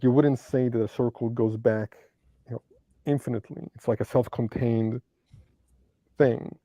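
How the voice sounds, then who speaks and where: A man's voice: mid-range voice, creaky, mellow, dry recording. A man speaking English as a second language, who hesitates and gropes for words with many ums while discussing philosophy as a guest. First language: not English. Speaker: debate guest.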